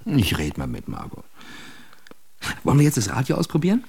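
A man's voice: medium pitch, huge pitch swings, a little fast, creaky, darkish tone, slightly rough, no echo, exaggerated voice. An actor playing a troubled ex-soldier who suspects he is being watched, in a radio drama. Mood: casual.

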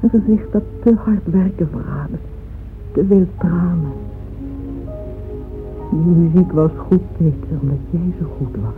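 A woman's voice: on the low side, measured pace, long pauses, close to an everyday voice. An actress playing an ageing, devoted and wistful wealthy mother in a radio play, speaking emotively and intimately. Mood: tender, wistful. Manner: emotive, intimate, with hushed asides. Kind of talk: dramatic radio play monologue. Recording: muffled phone-like old radio recording, music behind.

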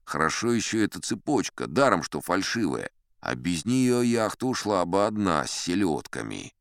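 A man with a low, resonant voice narrates an adventure tale. His delivery is theatrical and highly varied in pitch, with dramatic pauses that shape each phrase like a stage storyteller. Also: big pitch swings, clean tone, no room sound, studio quality. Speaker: audiobook narrator. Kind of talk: expressive scripted adventure storytelling in first person.

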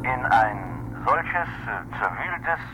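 A man's voice: mid-range voice, animated, takes it slowly. A man speaking in an archive broadcast clip. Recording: very noisy old broadcast clip.

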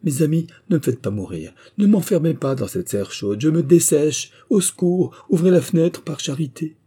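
A man's voice: a little high, animated, normal speed, dark tone, husky, voice pushed up. A man voicing a doleful female rain spirit from a storybook, with a lamenting, drawling delivery. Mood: panicked. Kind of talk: storybook character voice.

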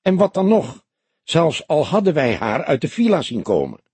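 A man reads as a young detective sidekick, pitched up and husky. He is eager and curious, pressing with emphatic questions in an audiobook story. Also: wildly swooping pitch, moderate pace, a little mellow, no room sound, full character voice. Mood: indignant. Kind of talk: audiobook character dialogue.

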